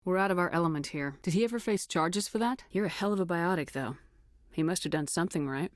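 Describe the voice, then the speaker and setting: A woman's voice: lowish voice, no echo, studio quality, lightly acted. A woman playing a confident female military starship commander in a video game, with assured, clipped, dryly teasing authority. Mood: firm, composed. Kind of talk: scripted video game dialogue.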